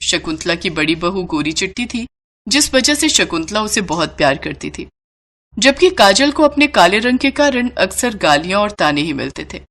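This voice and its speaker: A woman's voice: mid-range voice, big pitch swings, moderate pace, a bit bright. A woman reading scripted story narration.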